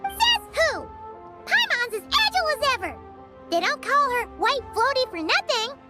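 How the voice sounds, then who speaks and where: A woman voicing a tiny, excitable, greedy fairy companion in an animated game, exclaiming and talking about herself by name. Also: extremely high pitch, moderate pace, over loud music. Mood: playful, bragging. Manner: refers to self in third person, exclamatory. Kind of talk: animated game cutscene acting.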